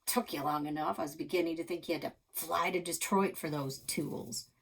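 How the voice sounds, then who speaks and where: Gruff, cranky old grandfather, as a woman reads him. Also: fairly high pitch, fairly even intonation, moderate pace, fairly bright tone. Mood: loud, sarcastic. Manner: blunt, grumbling, folksy sarcasm.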